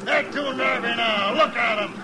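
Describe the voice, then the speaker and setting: An actor playing a stubborn, gruff American trail boss in a 1950s radio western, speaking bluntly over his herd. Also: extremely high pitch, normal speed. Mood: gruff, unyielding. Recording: noisy old radio recording, cattle ambience.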